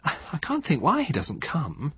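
An anxious young wife speaks with a childish bewilderment, as a man reads her lines. The voice is lifted somewhat and slightly husky, recorded dry and dull. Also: on the high side, wildly swooping pitch, normal speed, a bit bright, dry recording, pitched above the performer's own voice, full character voice. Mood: bewildered.

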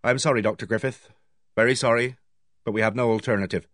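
A polite but firm police officer from a detective novel, read by a male narrator. He speaks formally and with measured care, at a middling pitch, in a dry studio sound. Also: fairly even intonation, a bit bright, no room sound, close to an everyday voice. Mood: regretful. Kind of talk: audiobook character voice.

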